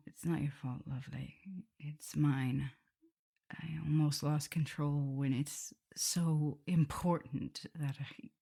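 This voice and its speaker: A woman playing a tender, guilt-ridden vampire mistress in an ASMR roleplay, speaking softly and haltingly, often in whispers. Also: deep voice, full character voice. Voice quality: soft, breathy, often whispering. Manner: halting, hesitant delivery. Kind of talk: ASMR roleplay acting, intimate address to listener.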